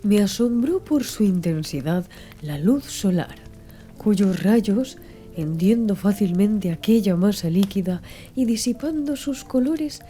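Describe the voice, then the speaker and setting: A woman narrates a literary story in a very mellow, dark voice. Her measured delivery rises and falls expressively, with wide, lively intonation. Music plays faintly beneath her reading. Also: mid-range voice. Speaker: audiobook narrator. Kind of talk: scripted literary audiobook narration. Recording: music under the voice.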